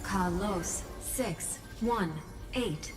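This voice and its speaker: Machine system, impassive, very slow, measured, formal, game announcement, clear music behind. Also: female voice, mid-range voice, low-quality recording.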